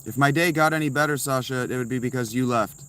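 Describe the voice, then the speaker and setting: A man's voice: medium pitch, fast, bright, forceful tone, a little hiss or hum. A man streaming live from inside a tent, answering chat messages with blunt, sarcastic replies. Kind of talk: casual livestream chat.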